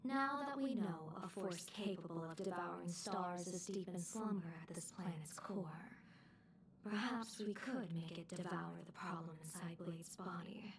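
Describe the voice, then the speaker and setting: An actress playing a calm, resolute fortune-telling strategist in a game, speaking in measured, formal lines. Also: female voice, fairly low pitch, distant mic. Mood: measured, grave. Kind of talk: scripted game dialogue.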